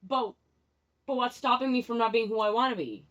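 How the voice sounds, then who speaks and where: A woman's voice: a little high, brisk, a bit bright. A young woman whose first language is Spanish, doing a rambling, candid tarot reading, often restarting her sentences and laughing at herself. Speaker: tarot vlogger. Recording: dull lo-fi sound.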